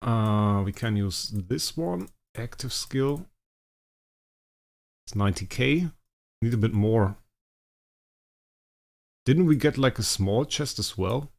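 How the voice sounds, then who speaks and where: A young man, a native German speaker, casually thinking aloud as he streams a game, his sentences often trailing off quietly. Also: medium pitch, lively intonation, slow pace, vocal fry, long pauses. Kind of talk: casual livestream commentary.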